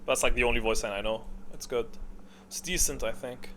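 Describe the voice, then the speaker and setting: A man's voice: medium pitch, brisk, a bit bright. Casual rambling male commentator, noisy recording. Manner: rambling, thinking aloud, blunt, swearing casually. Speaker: YouTube gaming commentator. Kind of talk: casual off-the-cuff opinion commentary.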